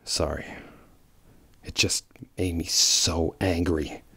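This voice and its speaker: A man's voice: low voice, steady tone, slow, sharp tone, slightly rough, no echo, heavily acted. A man voicing a bitter, brooding, protective male hedgehog hero in a fan audio drama.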